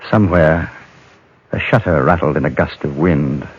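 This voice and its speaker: A man acting a steady, guarded frontier gentleman in a radio western, speaking in a measured, deliberate and terse way. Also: a bass voice. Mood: tense, hushed. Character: steady, guarded frontier gentleman narrating his Old West adventure. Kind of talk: 1950s radio western acting, first-person narration and dialogue. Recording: muffled, noisy old radio recording.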